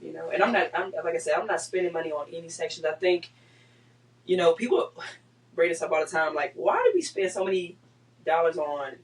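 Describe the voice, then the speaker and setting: A man, chatting on a podcast. His voice sits a little high and comes from a bit back from the mic. He tells stories with lively, teasing energy. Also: fairly quick, distant mic, rough recording. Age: 30s. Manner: animated storyteller, laughing and teasing. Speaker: podcast co-host. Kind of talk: casual chat.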